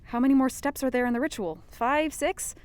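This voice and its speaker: Woman playing an eager young podcast interviewer, higher-pitched. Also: fairly quick, a little mellow, no room sound, natural voice. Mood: wry, weary. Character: earnest, inquisitive young female podcast interviewer. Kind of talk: conversational audio drama acting.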